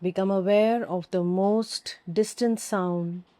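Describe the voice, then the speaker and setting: A woman teaching yoga nidra, giving calm, soothing guided meditation instructions with long pauses. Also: mid-range voice.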